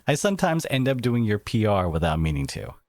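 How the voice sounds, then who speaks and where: Guy acting as protective boyfriend for asmr roleplay, really animated pitch, close dry recording, earnest and a bit sarcastic. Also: dry recording. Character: devoted, protective boyfriend confronting his partner's family, adult man.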